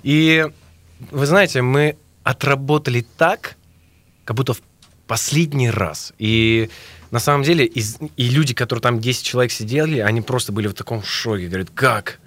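A man from Armenia, a guest singer chatting casually and haltingly. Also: mid-range voice, fairly bright tone, no room sound. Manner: halting, laughs often. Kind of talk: casual interview chat.

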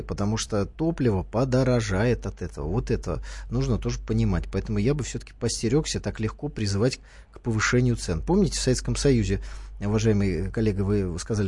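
A man's voice: fairly low pitch, mellow, breathy edge, no room sound. A man commenting on a talk radio show, arguing his points with irony and formal politeness. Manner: ironic, argumentative, formal address. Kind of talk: studio talk radio discussion. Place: radio studio.

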